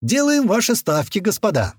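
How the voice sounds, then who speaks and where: A man's voice: sits high, huge pitch swings, moderate pace, no echo, clean studio sound, pitched above the performer's own voice, exaggerated voice. A man voicing a vengeful, mocking young gunman in an audiobook, barking and hissing commands with theatrical flair. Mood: gleeful showmanship.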